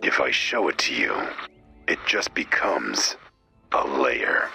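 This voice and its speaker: Game antihero: extremely deep, slow, whispery growl, vengeful and mysterious, terse delivery, music behind. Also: male voice, sing-song, gravelly, sharp tone, exaggerated voice. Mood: guarded, dry. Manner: terse, grim, melodramatic. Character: brooding, vengeful, mysterious cloaked male vigilante antihero. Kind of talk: video game character dialogue.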